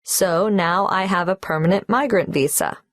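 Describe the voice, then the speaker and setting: A woman's voice: fairly low pitch, normal speed, dry recording, studio quality, natural voice. A woman playing a young applicant filling in a tax form in a scripted exam dialogue, carefully spelling out names and numbers. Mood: polite.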